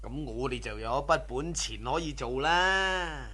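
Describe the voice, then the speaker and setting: An actor playing a boastful, scheming, worldly drug-running cousin in a radio drama. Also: male voice, mid-range voice, animated, unhurried, bright, forceful tone, slightly rough, exaggerated voice. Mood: scheming, persuasive. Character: swaggering, scheming drug-running cousin, a carefree womanizing man. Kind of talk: Cantonese radio drama acting.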